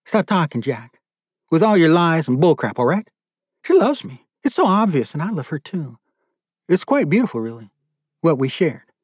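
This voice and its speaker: A man's voice: a little high, sing-song, moderate pace, pauses often, a little mellow, slightly rough, no echo, studio quality, pitched above the performer's own voice. A man voicing a smug, menacing male captor in an audiobook, commanding and possessive with false tenderness. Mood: obsessive, self-pitying. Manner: commanding, mock-sympathetic. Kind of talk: audiobook character dialogue.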